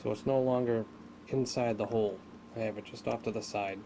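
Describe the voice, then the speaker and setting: Creaky low-voiced man thinking aloud. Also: fairly even intonation, warm tone. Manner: halting, thinking aloud while working. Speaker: hobbyist demonstrating an electronics repair. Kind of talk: unscripted hands-on tutorial narration. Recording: noticeable background noise.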